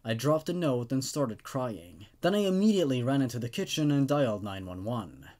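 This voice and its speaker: Expressive man reading and reviewing horror stories. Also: mid-range voice, moderate pace. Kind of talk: dramatic story reading and casual review commentary.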